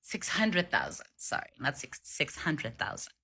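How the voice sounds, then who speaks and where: A woman's voice: deep voice, wildly swooping pitch, unhurried, sharp tone, slightly rough. A woman from South Africa giving opinionated commentary in a reaction video, speaking with emphatic indignation. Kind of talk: opinionated social commentary to camera.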